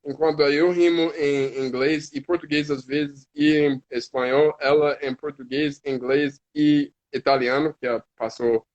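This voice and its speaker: A man's voice: on the high side, steady tone, darkish tone, dull audio. A man with an American accent, a native English speaker, talking as a guest on a live stream and often restarting words as he searches for them. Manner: halting, restarts words while searching in Portuguese. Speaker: guest, hip hop artist. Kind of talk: casual live-stream conversation.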